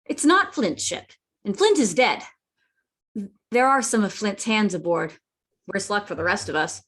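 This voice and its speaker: A woman's voice: mid-range voice, lively intonation, measured pace, a bit bright. A woman playing an earnest, plucky young boy who narrates a sea adventure in a radio play. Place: indoors, remote online reading.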